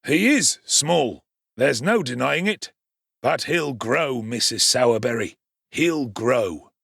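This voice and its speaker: A man's voice: medium pitch, expressive, slow pace, gravelly, a bit bright, slightly rough, dry recording, clean studio sound, voice pushed up, full character voice. A man voicing a pompous, blustering middle-aged parish official.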